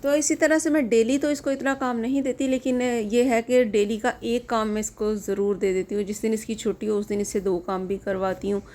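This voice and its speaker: A middle-aged woman from Pakistan talks through a casual home vlog in her kitchen, chatty and homely as she explains things to her viewers. Her throat is sore, so her voice roughens now and then. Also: fairly high pitch, fairly even intonation, a little fast, few pauses, a little mellow. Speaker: vlogger.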